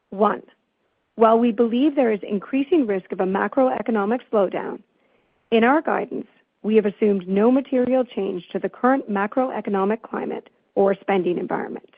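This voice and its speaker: Woman exec reading prepared earnings remarks, slightly husky, dry tinny phone sound, formal and measured. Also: on the high side, no echo. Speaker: company finance executive. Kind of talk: scripted earnings call presentation. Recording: tinny phone-like conference call line.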